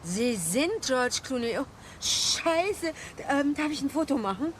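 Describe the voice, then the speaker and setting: A woman's voice: high-pitched, expressive, unhurried, a little hiss or hum. A woman playing a driver stopped by police, stammering and starstruck.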